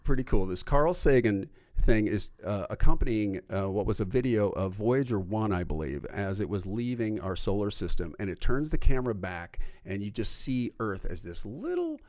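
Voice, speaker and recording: A man co-hosting a podcast, chatting casually and often agreeing with a quick "right". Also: on the low side, big pitch swings, darkish tone, no room sound. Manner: affirming, says "right" often, reads a quote aloud. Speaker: podcast co-host.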